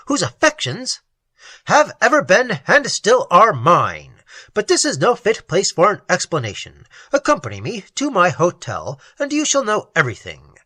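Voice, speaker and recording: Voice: medium pitch, bright, forceful tone, dry recording, pitched above the performer's own voice, full character voice. A reader voicing a hot-tempered young noble. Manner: indignant, demanding.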